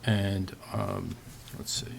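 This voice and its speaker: A man's voice: fairly low pitch, level tone, creaky. A man on a township committee giving an informal, hesitant report at a meeting. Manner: halting, hesitant. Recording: faint room noise.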